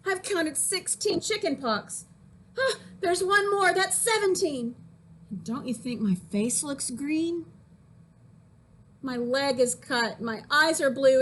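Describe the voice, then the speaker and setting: A woman's voice: high voice, a bit bright, a little hiss or hum, voice pushed up, full character voice. A woman voicing a whiny, melodramatic little girl faking illness in a children's poem reading, with plaintive complaining. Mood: whiny, exaggerated.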